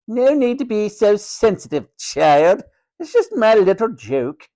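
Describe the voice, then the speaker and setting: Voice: medium pitch, sing-song, a little slow, mellow, voice pushed up. A storyteller voicing a cruel, sneering hospital matron, a domineering woman who snaps and mocks. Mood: mocking, cruel. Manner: snapping, mocking, sarcastic, domineering.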